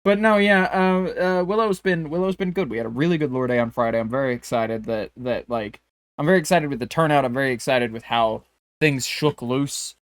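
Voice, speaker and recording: A man's voice: medium pitch, fairly quick. A man co-hosting a podcast in casual chat, bantering jokily and swearing freely. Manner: profane, jokey bantering. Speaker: podcast co-host.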